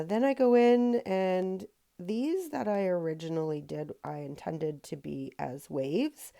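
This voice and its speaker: A woman hosting a painting tutorial in a casual voice-over, her voice raspy from a recent cold, chatty with many ums and self-corrections. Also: deep voice, mellow. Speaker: rock painting tutorial host.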